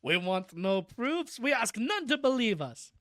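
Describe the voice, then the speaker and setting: An old professor speaks slowly and solemnly, full of quiet feeling, as a streamer reads him aloud from a novel. The voice is set at a middling pitch and sounds close and dry. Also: very animated, no echo. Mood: solemn, moved. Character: elderly male professor, solemn and tender.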